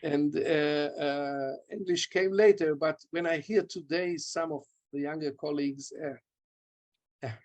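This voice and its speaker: An older man, a Hebrew and German speaker, answering questions after a lecture over a video call from his home study, halting and restarting his words. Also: fairly high pitch, fairly even intonation, darkish tone. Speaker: guest lecturer. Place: home study lined with bookshelves. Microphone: video call, laptop webcam.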